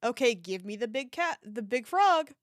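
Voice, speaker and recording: A woman's voice: fairly high pitch, very animated, normal speed, dry recording, studio quality. A woman livestreamer chatting casually and reacting to an online shop with playful, mock-dramatic exclamations.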